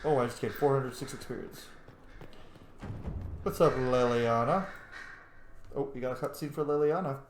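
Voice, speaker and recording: A man's voice: mid-range voice, normal speed, pauses often, echoey room, a little hiss or hum. A man giving casual, chatty game commentary as a streamer, reacting aloud as the game unfolds. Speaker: gaming streamer.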